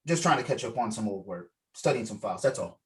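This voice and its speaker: A man reading the part of a deferential, low-key rookie police officer in a film script read. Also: lively intonation, fast talker, recorded from a distance, close to an everyday voice. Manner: modest, agreeable.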